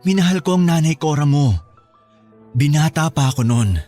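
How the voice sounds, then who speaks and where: A man's voice: on the high side, animated, moderate pace, mellow, close to an everyday voice. A Filipino man playing a remorseful middle-aged father in a radio drama, earnestly pleading with his estranged child. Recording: music bed behind the voice.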